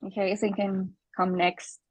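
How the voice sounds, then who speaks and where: A woman from Colombia, introducing herself hesitantly on a video call with many pauses. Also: medium pitch, level tone, breathy edge, no echo, rough recording. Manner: hesitant, many ums and uhs. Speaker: meeting participant.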